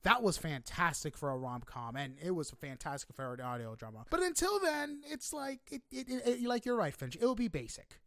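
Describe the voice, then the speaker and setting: Guy podcast host chatting casually, kinda high voice, animated and informal, pretty dry sound. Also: moderate pace, gravelly, few pauses, no room sound. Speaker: podcast host interviewing guests. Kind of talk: casual interview chat.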